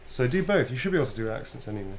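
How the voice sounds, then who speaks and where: British man, casual chatty Q&A, loose rambling delivery, middle pitch, faint background hum. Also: big pitch swings, very fast. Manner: chatty, loose and informal, full of ums and self-corrections. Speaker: voice actor answering fan questions. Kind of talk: casual live Q&A.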